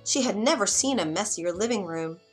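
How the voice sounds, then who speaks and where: Woman reading a bedtime story for kids, mid pitch, faint music underneath. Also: expressive, normal speed, slightly rough. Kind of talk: scripted children's bedtime story narration.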